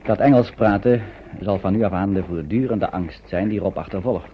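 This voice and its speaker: An actor narrating a radio play as a grave storyteller, in a measured, suspenseful, scripted style. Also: male voice, low voice, a little hiss or hum, natural voice. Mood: uneasy, suspenseful. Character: grave storyteller recounting a wartime flight. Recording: dull old radio recording.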